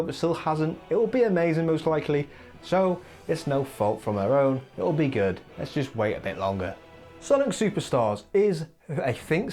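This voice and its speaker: A man hosting a gaming countdown in casual commentary, rambling and opinionated, his voice wearing thin. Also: a little high. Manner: opinionated, rambling, lively asides. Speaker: gaming video host. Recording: faint music behind.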